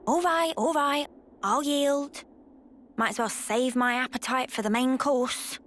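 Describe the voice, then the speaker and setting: A woman's voice: high voice, measured pace, a bit bright, slight background noise. An actress playing a cheeky, brash young woman in a video game, blunt and teasing. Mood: grumbling. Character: cheeky, brash, nosy young female party member. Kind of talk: video game cutscene acting.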